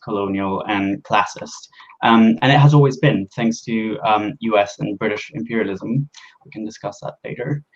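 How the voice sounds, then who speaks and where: Low-voiced man from Venezuela. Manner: measured, with frequent ums. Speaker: panelist, drug policy advocate. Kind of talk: panel introduction. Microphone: video call. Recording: slightly dull lo-fi call audio.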